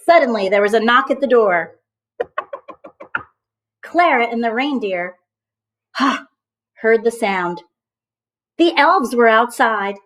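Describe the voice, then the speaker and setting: A woman with a high voice reads a picture book to children. She is expressive and emphatic, like a teacher, breaking off to chat directly to her young listeners. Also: slow, long pauses. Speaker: teacher reading aloud to children. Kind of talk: storybook read-aloud with asides to children.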